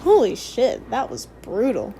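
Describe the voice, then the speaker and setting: A woman's voice: a little high, wildly swooping pitch, measured pace, noisy background, full character voice. A woman playing a brash, sarcastic young recruit who goads and teases in a casual audio drama style. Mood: amazed. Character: brash teasing young woman recruit, foul-mouthed, sardonic.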